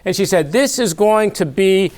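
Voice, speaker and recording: A middle-aged man giving a public lecture as guest speaker, with a loud voice, relaxed and using fillers. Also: high-pitched, normal speed. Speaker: guest speaker, institute president. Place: event hall.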